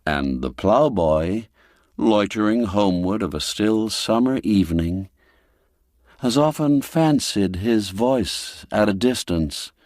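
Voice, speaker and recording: A man reading a classic tale aloud in a measured, expressive storytelling manner. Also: on the low side, no echo, clean studio sound. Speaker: narrator. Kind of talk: scripted storybook narration.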